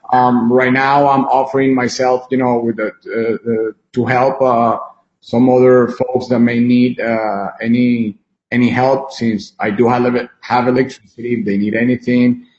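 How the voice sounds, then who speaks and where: A man from Venezuela talks as a guest in a live interview, with a mid-range voice. His delivery is conversational and rambles haltingly. The video call audio is dull, with clear room reflections around him. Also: mid-range voice, level tone, a little mellow, roomy sound. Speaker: interview guest, film director and musician.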